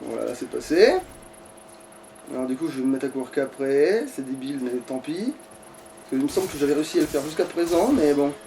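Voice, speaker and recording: A man's voice: fairly high pitch, animated, brisk, vocal fry, dark tone. A man giving casual let's play commentary, thinking aloud in a grumbling, foul-mouthed way. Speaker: gaming streamer. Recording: distant mic with background noise.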